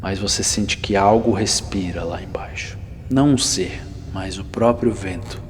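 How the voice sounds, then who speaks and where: Deep, hushed man in long reverb. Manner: hushed, unhurried, with soft pauses, sometimes near whisper. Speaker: narrator of a guided ASMR roleplay podcast. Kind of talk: slow immersive ASMR guided narration, second person. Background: music.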